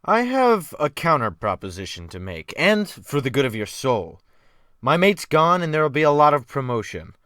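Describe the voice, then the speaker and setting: A man performs a domineering sea captain in a storybook drama. He speaks with imperative, mocking scorn, forceful and animated, in a close, dry recording. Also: a little high, moderate pace, dry recording. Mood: mocking, commanding. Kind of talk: dramatic audiobook character acting.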